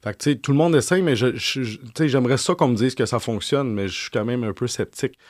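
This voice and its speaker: A man in his forties with a Québécois French accent, a journalist answering interview questions, talking fast with many restarts and repeated words. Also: mid-range voice, no room sound, studio quality. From Quebec. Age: 40s. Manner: lively storyteller. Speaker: journalist guest. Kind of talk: candid conversational interview answers.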